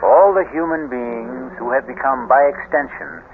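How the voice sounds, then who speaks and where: A man hosting an old-time radio mystery series with measured, formal, portentous scripted narration. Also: mid-range voice. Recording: muffled noisy old radio broadcast.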